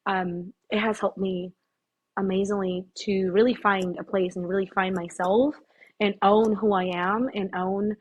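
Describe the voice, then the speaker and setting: A woman's voice: medium pitch, level tone, measured pace, breathy edge, lo-fi sound. A woman from Mexico, a guest on a podcast, talking casually and emphatically about her life, with frequent fillers. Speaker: podcast guest, fitness apparel founder. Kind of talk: casual interview talk.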